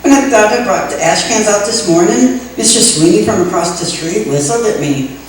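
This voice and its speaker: A woman's voice: mid-range voice, animated, normal speed, close to an everyday voice. A woman playing a brassy, vain middle-aged neighbor, hearty and exclaiming, in an old-time radio sitcom. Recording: echoey, distant stage recording, faint noise.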